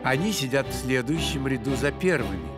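An actor playing an elegant grasshopper conductor in a children's cartoon, teaching patiently in a theatrical, measured manner. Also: male voice, mid-range voice, animated, loud music behind the voice, close to an everyday voice. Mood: patient, explanatory.

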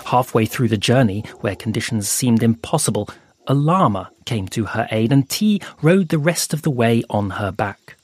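A man narrating an audio fable in a slow, hushed storytelling manner with dramatic pauses. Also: mid-range voice, animated, husky. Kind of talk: scripted audio fiction narration. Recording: soft music underneath.

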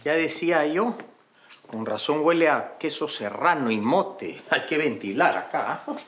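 A man's voice: on the high side, lively intonation, unhurried, fairly bright tone, faint music behind. An actor with a Peruvian accent playing a condescending, mocking wealthy father in a comedy series. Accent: Peruvian Spanish. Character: snobbish mocking wealthy father, middle-aged man.

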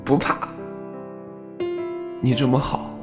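A man playing an earnest, affectionate young man speaking softly to his partner. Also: mid-range voice, takes it slowly, lightly acted. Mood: tender. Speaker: lead character. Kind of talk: audio drama acting. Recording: music playing under the voice.

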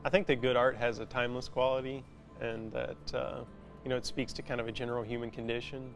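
Gravelly, even-toned man in thoughtful interview. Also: medium pitch. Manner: halting, thoughtful, filler-heavy casual speech with many "you know"s. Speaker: metal sculptor being interviewed. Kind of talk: reflective documentary interview. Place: large indoor metal workshop. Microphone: lapel mic. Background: instrumental music.

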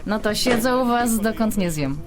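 A woman's voice: a little high, expressive, normal speed, a crowd in the background, close to an everyday voice. An actress playing a chatty, earnest woman friend who pours out her worries at length. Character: chatty, wistful middle-aged woman friend. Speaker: visiting friend. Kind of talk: audio drama acting.

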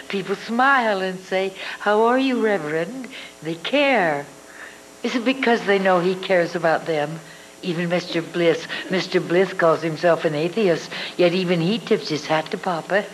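An older actress playing a loving, worried daughter in a live old-time radio drama reading. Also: female voice, medium pitch, natural voice, rough recording. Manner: heartfelt, dramatic, soft. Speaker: actress in a live reader's theatre. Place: hotel ballroom stage. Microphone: far camera. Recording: audience video in a ballroom, hum.

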